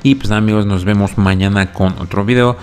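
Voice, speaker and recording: A man's voice: fairly low pitch, steady tone, fast talker, soft background music. A man hosting a video channel in a casual, conversational way, commenting off the cuff and often checking in with tag questions. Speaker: YouTube host recapping reality TV. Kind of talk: casual scripted-free commentary to subscribers.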